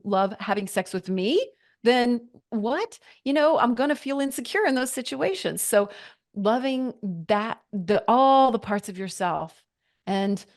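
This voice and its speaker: A woman in her forties, a podcast guest, telling her personal story in an earnest, reflective way and acting out her own past thoughts. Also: medium pitch. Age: 40s. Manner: earnest, reflective, with lively quoted inner thoughts.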